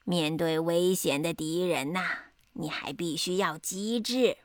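A woman voicing a wise, proud old grandpa toad in a children's bedtime story, speaking slowly. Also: fairly low pitch, dry recording, studio quality, voice pushed down, natural voice. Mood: wise, patient. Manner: slow, drawn-out, gravelly storybook voice.

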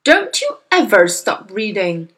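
A woman's voice: mid-range voice, big pitch swings, drawn-out words, darkish tone. A woman voicing a bad-tempered bullying father who barks and sneers. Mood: angry. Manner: snapping, barking, sneering.

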